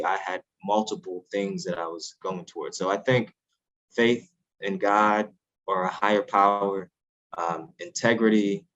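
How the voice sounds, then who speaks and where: A man speaking as an interview guest, earnest and measured, with frequent fillers and restarts. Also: deep voice, fairly bright tone, poor audio. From United States, Haitian family. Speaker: podcast guest, author. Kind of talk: reflective interview talk.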